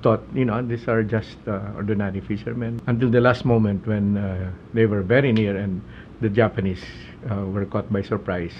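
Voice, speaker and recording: A man's voice: lowish voice, fairly even intonation, moderate pace, slight background noise. Hesitant older man, slightly muffled. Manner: hesitant, many fillers. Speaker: son of a veteran, interviewee. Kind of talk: retelling a family story in an interview. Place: room indoors.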